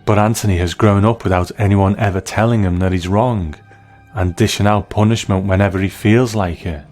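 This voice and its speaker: Man, deep voice, scripted review narration, soft music behind. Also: fairly even intonation, moderate pace.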